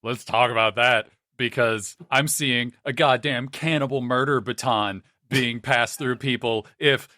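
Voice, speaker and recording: A man's voice: on the high side, sharp tone, no echo, studio quality. A man playing a tabletop role-playing game, talking casually and partly in character.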